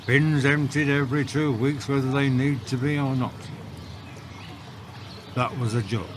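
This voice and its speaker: A man's voice: medium pitch, steady tone, measured pace, very noisy, lightly acted. A man playing a friendly, wry northerner in an audio drama, with a Northern English accent and folksy dialect. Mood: welcoming, wry. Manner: dialect-heavy, folksy, drily joking.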